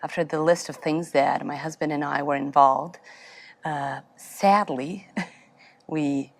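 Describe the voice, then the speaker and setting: A woman from Serbia, a therapist answering interview questions, halting and searching for words. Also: low voice, steady tone, vocal fry. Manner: speaks with a foreign accent, halting, searching for words. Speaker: therapist guest.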